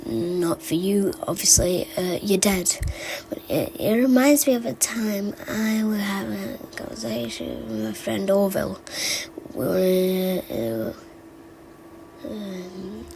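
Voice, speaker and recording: A woman voicing a rambling, forgetful game storyteller, halting and digressive, stammering as the tale wanders. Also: mid-range voice, warm tone, poor audio. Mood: meandering, halting. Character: rambling, forgetful storyteller who muses about odd small memories. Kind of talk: fan recital of game character voice lines. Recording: amateur recording with clear background noise.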